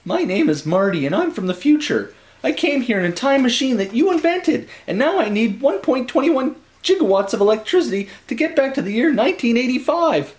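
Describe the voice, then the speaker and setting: A man's voice: very high voice, very animated, a little fast, pitched above the performer's own voice. A man voicing an earnest teenage boy time traveler in a storybook, eager and pleading.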